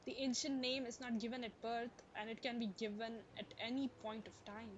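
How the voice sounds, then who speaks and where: High-voiced woman streaming a game. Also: level tone, a little fast, muffled recording, background noise. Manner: musing aloud, addressing viewers. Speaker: game livestreamer. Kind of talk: casual live playthrough commentary. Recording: loud game music behind the voice.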